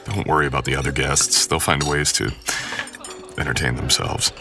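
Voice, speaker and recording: Man playing a very deep-voiced, suave, possessive aristocrat. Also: steady tone, normal speed, gravelly, over music. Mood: suave, charming. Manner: formal, courtly, old-fashioned phrasing. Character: mysterious, possessive, suave wealthy male estate host. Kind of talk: boyfriend ASMR audio roleplay.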